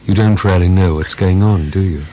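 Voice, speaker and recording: Man acting deep, mellow, needling philosopher. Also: slight background noise, natural voice. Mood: cool, cautioning. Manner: measured, probing, lecturing. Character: calm, needling male philosopher, a prisoner's companion. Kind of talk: radio drama acting.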